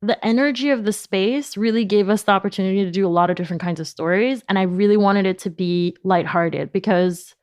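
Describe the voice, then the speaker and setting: A woman's voice: mid-range voice, a little fast, hardly any pauses, darkish tone, no echo, clean studio sound. A woman speaking casually as an interview guest, explaining things informally and sprinkling in "like". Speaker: podcast company founder, guest.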